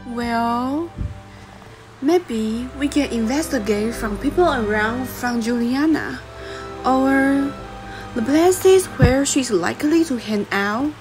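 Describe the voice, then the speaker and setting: A woman's voice: fairly high pitch, slow pace, rough recording. A young woman playing an inquisitive female investigator in a student English radio drama, with a Taiwanese accent. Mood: puzzled. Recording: voice over clear background music.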